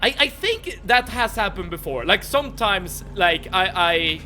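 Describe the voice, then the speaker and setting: High, bright-voiced streamer man. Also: lively intonation, a little slow, faint music behind. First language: Swedish. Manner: chatty, stammers and repeats words, laughs often. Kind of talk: casual chat while playing a game.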